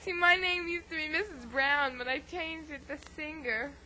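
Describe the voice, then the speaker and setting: A woman's voice: high voice, moderate pace, pushed and bright, poor audio. A woman playing a giddy young woman who is a pop-music fan, talking in a teasing, giggly way and spinning tall tales. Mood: playful. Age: young adult. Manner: playful, teasing, giggly, tall-tale telling.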